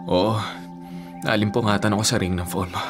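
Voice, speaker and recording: Man acting a gentle, sickly Filipino younger brother. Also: fairly low pitch, fairly even intonation, normal speed, natural voice. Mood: gently protesting. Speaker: radio drama actor. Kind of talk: Filipino radio drama acting. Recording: music under the voice.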